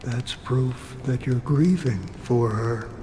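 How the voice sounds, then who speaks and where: Man playing a very slow, grave wanderer. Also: mid-range voice, big-room sound, noisy background, close to an everyday voice. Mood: somber, probing. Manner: deliberate, drawn-out, brooding phrasing with pauses. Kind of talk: video game voice acting.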